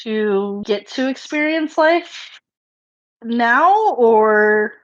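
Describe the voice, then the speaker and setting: A woman with a fairly high voice talks casually through a roleplaying game. The recording is dull and very dry, with little room sound. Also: expressive, very slow, no room sound. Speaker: player in a tabletop roleplaying game. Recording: dull, dry, rough online-session audio.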